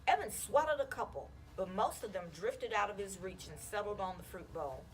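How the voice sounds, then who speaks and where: A woman reads a children's storybook aloud in an expressive, animated way. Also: mid-range voice, normal speed, poor audio. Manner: animated, acting out the children's dialogue. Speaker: school counselor reading a children's book aloud.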